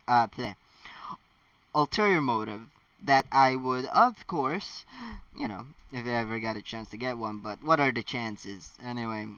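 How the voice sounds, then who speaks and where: A man's voice: medium pitch, pushed and bright, no room sound. A man from the Philippines chatting casually between story readings, rambling and correcting himself as he goes. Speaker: fan fiction reader and host. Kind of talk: casual chatty asides while reading stories. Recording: slightly muffled, distant mic.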